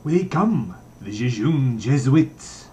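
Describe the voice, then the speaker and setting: A man's voice: mid-range voice, sing-song, takes it slowly, dark tone. Guy reading a novel as a brash jokey young man, teasing and declaiming, bit of noise behind. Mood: gleeful. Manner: declaiming, mock-solemn, teasing. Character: brash, mocking, theatrical young man, jovial and playful.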